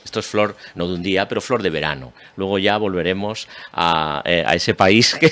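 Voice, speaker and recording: A man's voice: low voice, a bit bright. A man with an accent from Spain, hosting a panel discussion and speaking emphatically, often repeating phrases. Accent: Spanish from Spain. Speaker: host.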